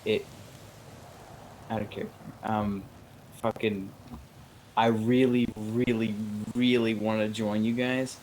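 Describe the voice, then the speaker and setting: Male gamer, fairly low voice, halting informal delivery, slight noise behind. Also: little pitch movement, a little mellow, low-quality recording. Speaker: roleplay game player. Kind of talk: casual online game chat. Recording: faint background noise on voice chat.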